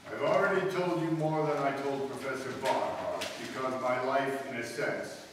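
A man playing a grave, commanding spaceman in human guise in an old-time radio drama, solemn and deliberate. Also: mid-range voice, natural voice. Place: live stage in a hall, distant audience camera. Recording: echoey noisy hall stage, far off.

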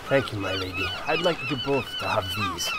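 A gallant, humble knight for a fantasy audio drama. His pitch leaps widely as he speaks, courteous and bashful, with clear ship ambience behind him. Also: huge pitch swings, fairly quick, heavy background noise, exaggerated voice. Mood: earnest, courteous. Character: humble, gallant young male knight of low birth.

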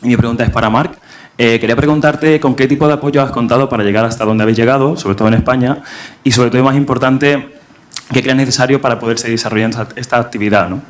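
A man speaks up from the floor of a large chamber with a polite, quick-fire question. His pitch sits in the middle and the words come fast, with no pause between them. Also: fairly even intonation, a little hiss or hum. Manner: polite, rapid. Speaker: audience member asking a question.

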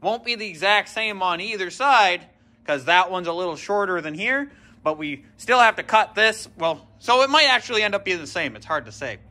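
A man's voice: high-pitched, animated, moderate pace, sharp tone. A young man casually walking viewers through his garage build, easygoing and poking fun at his own mistakes, filmed on an action camera. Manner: easygoing, self-deprecating, talks straight to viewers. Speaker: garage builder showing a project. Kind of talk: casual how-to workshop vlog. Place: large indoor workshop garage. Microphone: handheld or body-worn action camera.

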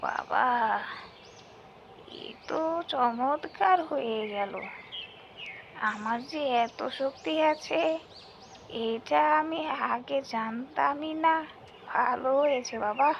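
A woman voicing a frail, wistful old village granny in a cartoon fairy tale, rambling gently. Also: sits high, slow, creaky, long pauses, highs cut off, slight background noise, heavily acted. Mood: pleased wonder.

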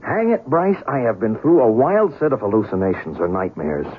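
An actor playing an agitated, skeptical young journalist in a 1970s radio drama, halting and exasperated. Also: male voice, mid-range voice, very animated, lightly acted. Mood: exasperated, distressed. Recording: muffled, noisy vintage radio broadcast.

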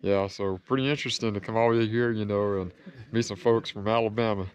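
A man's voice: on the low side, fairly even intonation, fast. A man in his thirties with a Southern American accent, chatting casually at an outdoor overlook while filming himself at arm's length. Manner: friendly, chatty, talks to strangers and animals. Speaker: vlogger. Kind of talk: casual travel vlog. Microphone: camera held at arm's length. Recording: background guitar music.

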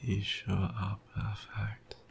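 A tired young man with a croaky voice, softly whispering an affectionate boyfriend roleplay close to the mic. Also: lowish voice, completely flat delivery, slow pace, slight background noise. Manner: soft, affectionate whispering with quiet laughs. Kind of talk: ASMR roleplay.

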